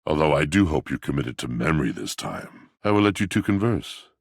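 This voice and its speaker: A man voicing a stern, formal video game character with a gravelly voice and deliberate, imperious manner. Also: rumbling low, big pitch swings, no echo, clean studio sound, heavily acted.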